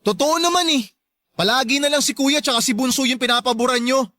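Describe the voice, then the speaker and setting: A man's voice: extremely high pitch, fairly quick, sharp tone, no echo, studio quality. An actor playing a hurt, resentful adult son who pleads and protests to his mother in a Tagalog radio drama. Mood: hurt, defiant.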